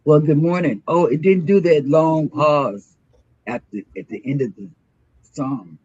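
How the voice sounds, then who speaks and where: A man hosting a morning show in casual, rambling chat, with a throaty, hoarse voice from a sore throat. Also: fairly high pitch, a little slow, darkish tone, lo-fi sound.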